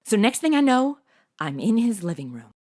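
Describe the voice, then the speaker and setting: A woman's voice: a little high, sing-song, moderate pace, a little mellow, faint music behind. A woman playing a chatty, cheeky, jittery modern young woman in a comedy audio drama, slangy and over-caffeinated.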